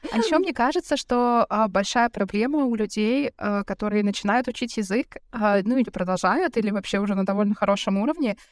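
A woman's voice: medium pitch, expressive, a little fast, hardly any pauses, no echo, clean studio sound. A young woman co-hosting a podcast, talking in a reflective, slightly hesitant way. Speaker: podcast co-host. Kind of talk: casual chat.

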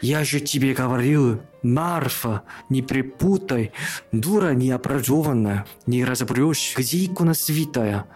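A man playing an excitable, bossy father in a radio play, speaking in a theatrical, exclamatory and deliberate way. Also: medium pitch, faint music behind. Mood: angry. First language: Korean. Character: excitable, bossy father scheming to marry off his daughter. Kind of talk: radio play acting of a classic story.

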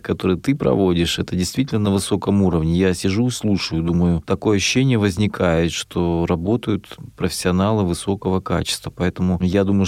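Low-pitched man hosting a radio interview. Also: creaky, darkish tone, no echo, studio quality. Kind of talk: casual studio interview. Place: radio studio.

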